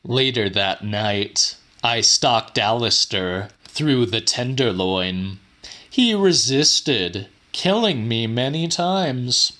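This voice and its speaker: A man reading a horror audiobook in a measured, theatrical way. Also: mid-range voice, expressive, pushed and bright. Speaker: audiobook narrator. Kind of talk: dramatic horror audiobook narration.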